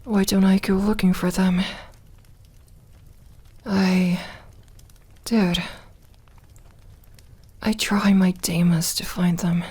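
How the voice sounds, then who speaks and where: A woman playing a lonely, lovelorn vampire in an intimate ASMR audio roleplay, gentle and wry, speaking softly with hesitant pauses. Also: lowish voice, level tone, breathy edge. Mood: wistful, sorrowful. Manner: hesitant, soft-spoken, slow with pauses.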